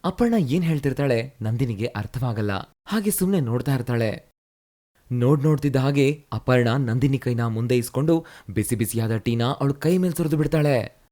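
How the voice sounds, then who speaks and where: Man with a dark, mellow narrating voice. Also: medium pitch, moderate pace. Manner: steady, explanatory storytelling. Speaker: storyteller narrator. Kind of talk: scripted story narration.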